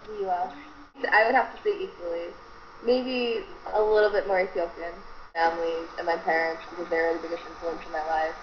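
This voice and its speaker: A woman in her twenties, raised in America, answering interview questions in a relaxed, chatty way. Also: fairly low pitch, fairly quick. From United States. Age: 20s. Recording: echoey room, muffled, light noise.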